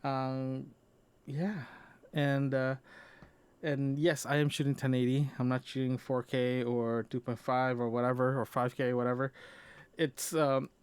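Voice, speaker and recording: A man with a medium-pitched voice records a casual personal podcast. He talks off the cuff in a halting, rambling way, often repeating words and doubling back on his sentences. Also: level tone, clear, resonant tone, no room sound. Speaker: podcast host. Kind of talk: casual audio diary about personal gear.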